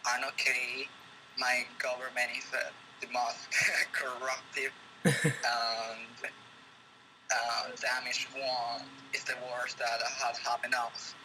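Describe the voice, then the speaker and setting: A man from Venezuela talks in English with a Venezuelan accent, earnest and going on at length. His voice stays flat and steady, at an ordinary pitch. A clear hiss of noise sits under the recording. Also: takes it slowly. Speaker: guest. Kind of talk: casual conversation. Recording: noisy background hiss.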